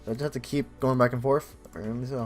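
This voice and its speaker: A man's voice: medium pitch, steady tone, rapid-fire. A man doing casual let's play commentary, reading game narration aloud and adding informal, joking asides. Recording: faint game music behind.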